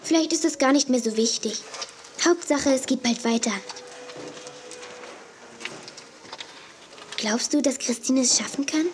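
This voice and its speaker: A woman's voice: high voice, brisk, slight background noise, natural voice. A woman playing a spirited, loyal younger sister, eager and outspoken, in a children's audio drama. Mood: thoughtful. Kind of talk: 1980s German children's radio play acting.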